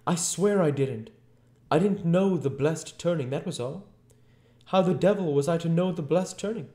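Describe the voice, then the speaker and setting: A man's voice: a little high, normal speed, a little mellow, voice pushed up, close to an everyday voice. A man voicing a fretful, frightened tramp close to tears in an audiobook, pleading in a plaintive way. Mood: tearful protest. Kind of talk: audiobook character voice. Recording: echoey room.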